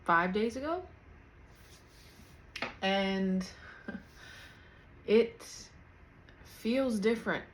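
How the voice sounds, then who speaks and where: A woman's voice: on the low side, slight background noise, rough recording. A thirty-year-old woman hosting her own podcast at home, talking candidly and loosely without a script, laughing lightly now and then, picked up by her camera's built-in mic. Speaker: podcast host. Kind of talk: unscripted casual personal talk. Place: at home, in pajamas. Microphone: camera's built-in mic, external mic unplugged.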